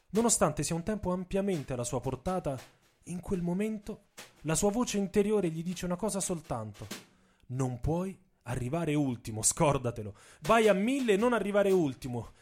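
A man with a somewhat high-set voice tells a scripted story for radio theatre. His delivery is theatrical and intense, that of a dramatic narrator. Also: darkish tone.

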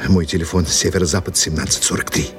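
An actor playing a suave, composed gentleman writer in a radio drama, speaking with courteous irony. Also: sits low, little pitch movement, music in the background, close to an everyday voice. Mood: hushed, tender. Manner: courteous, ironic, unhurried. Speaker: actor in an audio play. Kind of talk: Russian radio drama acting.

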